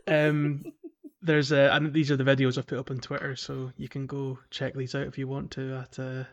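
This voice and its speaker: A man in a relaxed gaming podcast conversation, with a mid-pitched voice. He talks in a chatty, enthusiastic way, as a host among friends. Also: normal speed, highs cut off. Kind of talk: casual video game chat.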